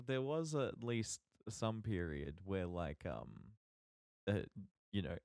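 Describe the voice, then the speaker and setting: A man co-hosting a podcast, chatting casually about media in a halting, emphatic, sweary way. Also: low-pitched, darkish tone, dry recording, studio quality. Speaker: podcast co-host.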